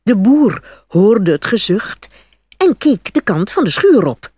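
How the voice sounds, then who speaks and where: Husky, animated woman storyteller. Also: mid-range voice, takes it slowly, no room sound, clean studio sound. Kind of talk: scripted fairy tale narration.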